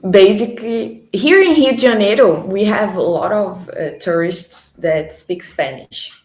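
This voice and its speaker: A Brazilian woman whose first language is Portuguese, teaching it in English with pauses to search for words. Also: medium pitch, lively intonation, a little mellow, roomy sound. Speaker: language tutor. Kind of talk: conversational language lesson.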